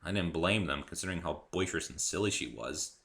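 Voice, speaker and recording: A man's voice: sits low, lively intonation, brisk, creaky, a bit bright. A man reading a first-person story aloud as a dramatic narration. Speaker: amateur reader and author of the story.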